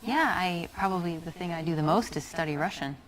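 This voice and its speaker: An actress playing a relaxed young American woman on a café date, giving short, easy replies. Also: fairly low pitch, brisk, natural voice, poor audio. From United States. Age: young adult. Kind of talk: scripted game dialogue. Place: café, indoors. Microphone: lapel mic.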